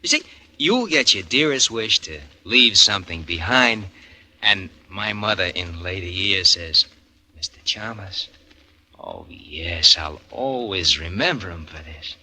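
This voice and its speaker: An actor playing a scheming grown son in a 1940s radio drama, wheedling and slangy, tagging lines with huh and no. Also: male voice, deep voice, expressive, unhurried, sharp tone, slightly rough, full character voice. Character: slick, scheming, pushy grown son, small-time hustler. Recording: noisy old radio broadcast recording.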